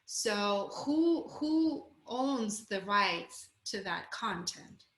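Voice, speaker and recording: A woman's voice: medium pitch, expressive, very slow, a bit bright, reverberant, poor audio. A woman with a Russian accent coaching a group on a video call, candid and encouraging. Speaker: course coach.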